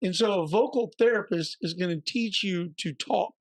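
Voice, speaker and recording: A man co-hosting a podcast, slightly hoarse and raspy, telling a story in a chatty way with joking asides. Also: high-pitched, moderate pace, dry recording. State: a little hoarse. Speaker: podcast co-host. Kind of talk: casual podcast chat.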